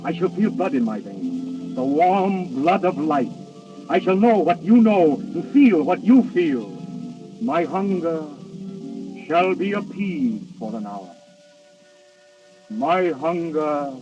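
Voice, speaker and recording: Death as a lordly spirit, theatrical and commanding, swooping voice, dull old radio sound. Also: male voice, fairly high pitch. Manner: formal, theatrical, commanding, deliberate phrasing. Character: Death, a grand imperious male spirit seeking mortal life. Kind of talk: old radio drama acting. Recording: dull, distant old radio recording with music.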